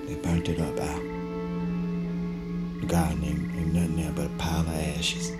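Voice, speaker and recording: An actor playing a plain-spoken, loyal country man with a Southern accent and a halting, folksy way of talking. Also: very deep voice, flat delivery, over loud music. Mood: downcast.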